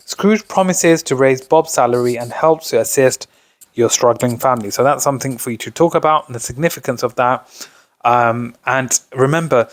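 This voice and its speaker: A male tutor walks students through revision material in an instructional way. His voice sits at a middle pitch, and the sound is dry with very little room on it. He tends to set up questions and then answer them himself. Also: dry recording. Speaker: tutor presenting exam revision. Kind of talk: explanatory revision lesson.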